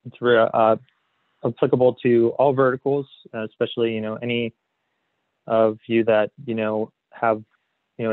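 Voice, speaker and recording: A man presenting a software webinar as a consultant, explaining slides in a hesitant way padded with "you know". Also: mid-range voice. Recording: phone-like narrow band, roomy echo.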